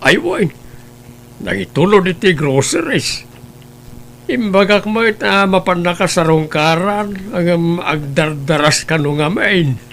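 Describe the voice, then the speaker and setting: A man's voice: high voice, expressive, slow, gravelly, fairly bright tone, exaggerated voice. A man voicing an elderly grandfather who lectures his grown son, with faint hum behind. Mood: kindly. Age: older. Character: elderly grandfather, gentle and scolding.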